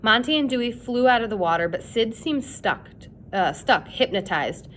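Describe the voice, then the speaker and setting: A woman's voice: expressive, moderate pace, a bit bright. A woman reading a children's chapter book aloud as a dramatic, suspenseful storyteller, with noise behind. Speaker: storybook reader. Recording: clear background noise with rumble.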